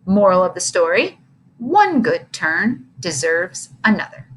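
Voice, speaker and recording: A woman reading a children's book aloud, animated and acting out every character. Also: fairly low pitch, a little slow, a little hiss or hum. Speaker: reader.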